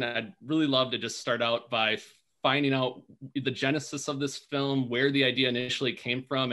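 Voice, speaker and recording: A man's voice: medium pitch, pushed and bright. A man hosting a film Q&A over video, polished and enthusiastic in how he frames his questions. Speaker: host. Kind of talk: interview hosting.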